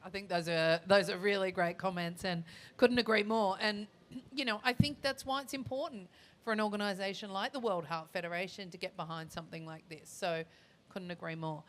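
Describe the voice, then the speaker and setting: A woman's voice: mid-range voice, fairly even intonation, brisk. A woman moderating a live panel discussion in an informal, teasing way, speaking at a conference session. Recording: live event with clear background noise.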